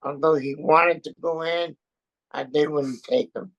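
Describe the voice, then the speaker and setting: Slow, gravelly older New man. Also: a little high, little pitch movement, fairly bright tone, dull audio. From born in Austria, raised in Brooklyn and France. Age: 70s. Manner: halting, hesitant, many pauses and fillers. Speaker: interview guest, Air Force veteran. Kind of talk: casual chat.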